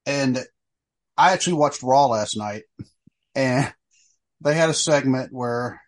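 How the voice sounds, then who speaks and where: Middle-aged male podcast host, casual and rambling, lots of ums and restarts, mid-pitched voice. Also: takes it slowly. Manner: rambling, many fillers and restarts. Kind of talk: casual chat.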